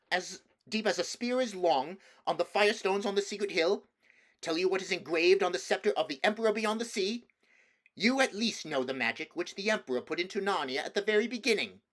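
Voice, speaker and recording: A man voicing an imperious, evil witch queen in a storybook, shrill and declaiming. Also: fairly bright tone.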